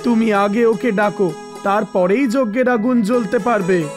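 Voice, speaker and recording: A man's voice: high voice, steady tone, normal speed, lightly acted. Man playing a knowing, authoritative village priest. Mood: solemn, knowing. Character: learned village priest, calm, knowing and reassuring. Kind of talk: dramatized Bengali cartoon storytelling. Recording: background music behind voice.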